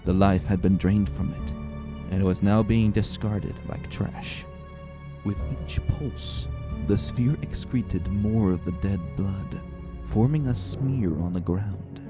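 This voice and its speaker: A man reading a story as dramatic scripted narration, measured, deliberate and suspenseful. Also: fairly low pitch. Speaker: narrator of a fan fiction reading. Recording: roomy voice, faint music bed. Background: faint music.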